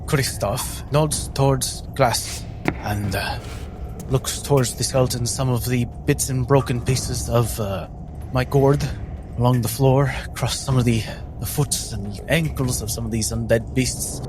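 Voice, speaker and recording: A man's voice: medium pitch, steady tone, measured pace, over music. A man playing a tabletop role-playing game, descriptively narrating what his character does.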